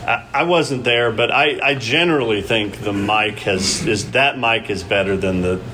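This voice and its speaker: A man's voice: lowish voice, sharp tone. Church guy leading a discussion, stops and restarts a lot, slight hiss behind. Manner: halting, many restarts. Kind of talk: casual group discussion.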